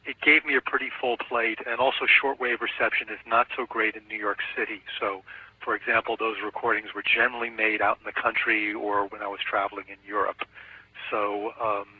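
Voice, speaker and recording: A man's voice: lowish voice, little pitch movement, brisk, slightly rough. A middle-aged man being interviewed by telephone as a video editor and artist, explaining himself in a reflective, careful way. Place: on a telephone call. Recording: tinny phone line with room echo.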